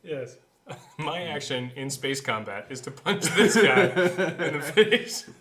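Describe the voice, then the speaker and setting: A man's voice: a little high, animated, slow, fairly bright tone. A man co-hosting a podcast in casual, joking chat. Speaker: podcast co-host and show editor.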